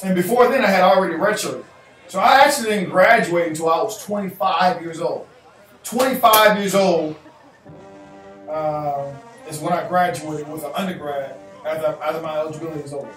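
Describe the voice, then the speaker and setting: A man in his thirties talking straight to camera, answering viewer emails with animated gestures and repeating phrases for emphasis. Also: fairly high pitch, normal speed. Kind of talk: casual advice talk to camera. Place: indoor room in front of a chalkboard backdrop. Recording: echoey room, voice a bit far off, faint music.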